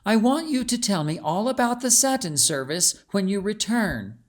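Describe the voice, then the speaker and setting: A man's voice: high-pitched, very animated, normal speed, voice pushed up. A man voicing a regal, gracious but commanding lady of the house in an audiobook. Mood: gracious, instructing. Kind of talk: audiobook character voice.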